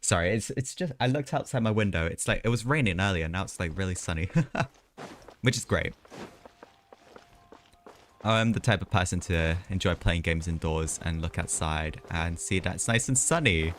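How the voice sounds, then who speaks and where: A man's voice: low-pitched, normal speed, fairly bright tone, slight background noise. A man live streaming a video game, chatting casually in a rambling way with hesitant asides.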